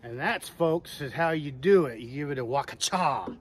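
Man, casual homestead vlogger, mutters to himself while working, loud tool noise, outdoors. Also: mid-range voice, normal speed. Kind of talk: casual narration while building. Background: loud power tool noise.

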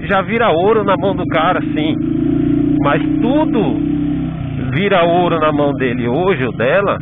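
A Brazilian man talking chattily while riding a motorcycle in the rain, preaching about faith and hard work, often asking "entendeu?"; recorded outdoors on a bike-mounted camera. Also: high-pitched. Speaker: motovlogger. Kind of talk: casual motivational talk while riding. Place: outdoors on a motorcycle on rainy rural and town roads. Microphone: helmet or bike-mounted action camera. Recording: tinny phone-like sound with loud road noise.

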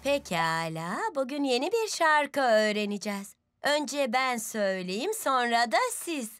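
A woman's voice: on the high side, lively intonation, unhurried, noisy background. A woman voicing a patient, encouraging female teacher car in a dubbed children's cartoon. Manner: warm, guiding, encouraging. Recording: music bed under voice.

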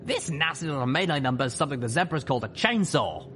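Casting: male reader as brash griffin mercenary for an audiobook, boastful, lively pitch swings. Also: fast, faint music behind. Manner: proud, swaggering.

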